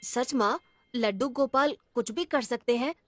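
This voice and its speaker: A woman's voice: a little high, measured pace, a little hiss or hum, lightly acted. A woman voicing an innocent, downcast poor little boy in a cartoon story, subdued, earnest and childlike. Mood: hopeful.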